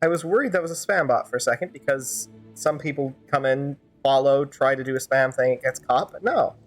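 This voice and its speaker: A woman's voice: very deep voice, a little fast, faint music behind. A woman streamer improvising fan-dub commentary over a game, sarcastic and rambling.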